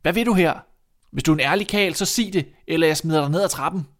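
A man's voice: fairly high pitch, animated, fairly bright tone. A man voicing a naive, fearless young son in a fairy tale, plain-spoken and insistent. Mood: bold, challenging. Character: dim-witted fearless youngest son, earnest and naive boy.

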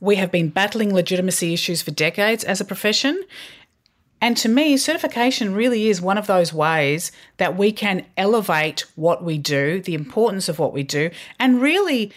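A woman's voice: medium pitch, expressive, normal speed. A middle-aged woman, a communications leader, telling stories as a podcast guest in an earnest way with frequent restarts. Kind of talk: podcast interview, candid storytelling.

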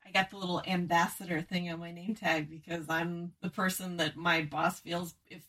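Woman co-host, lower pitch, quiet, mostly short agreeing replies. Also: flat delivery, moderate pace. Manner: soft-spoken, brief agreeing replies. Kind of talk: casual chat.

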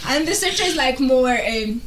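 A woman's voice: high-pitched, lively intonation, normal speed, a bit bright, a little hiss or hum. A young woman in casual, slangy chat, opinionated and emphatic.

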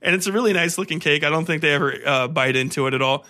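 A man podcast host giving a casual, rambling film review, enthusiastic and profane, often halting and repeating himself. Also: on the high side. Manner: animated, enthusiastic; profane, slangy.

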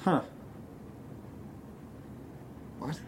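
A man's voice: a little fast, slight background noise, close to an everyday voice. A man acting an anxious, mopey, sardonic young man in a naturalistic audio drama, speaking hesitantly. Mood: uneasy.

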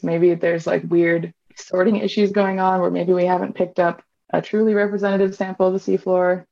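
A woman's voice: lowish voice, creaky, darkish tone. A woman in her twenties from Hawaii, a PhD student talking casually about her research, full of "like" fillers. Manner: chatty, many "like" fillers. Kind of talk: casual interview talk. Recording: dull lo-fi sound.

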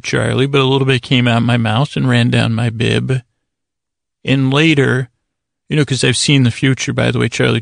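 Gravelly man rambling in fumbling stops and starts. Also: mid-range voice, no room sound, clean studio sound. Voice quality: gravelly, creaky voice. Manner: stumbling, stuttering, meandering, repeats words; whimsical, absurd humour, acting out imagined conversations. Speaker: podcast host. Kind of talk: rambling, improvised bedtime-story podcast monologue.

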